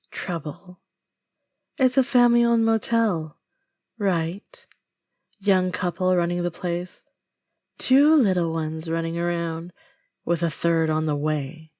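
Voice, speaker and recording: A woman playing a possessive female villain in an intimate audio roleplay, falsely sweet, deliberate and coldly menacing. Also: fairly low pitch, darkish tone, dry recording, clean studio sound. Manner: slow, deliberate, veiled threats with endearments.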